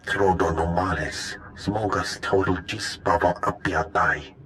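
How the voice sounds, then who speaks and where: Ponderous alien, low voice, very unhurried, invented language, sci-fi game dialogue, faint ambient noise. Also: male voice, fairly bright tone. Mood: terse. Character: slow, ponderous male alien speaking invented tongue.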